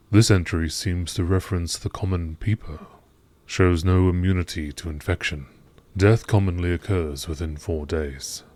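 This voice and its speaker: A man reading scripted narration in a measured, formal nature documentary style. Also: deep voice, darkish tone, dry recording. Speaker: gaming channel narrator.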